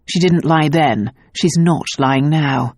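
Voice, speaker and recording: A man reading an audiobook, voicing a gruff, protective nobleman husband in a low, tender voice. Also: takes it slowly, no echo, studio quality. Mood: cold. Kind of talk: audiobook dialogue.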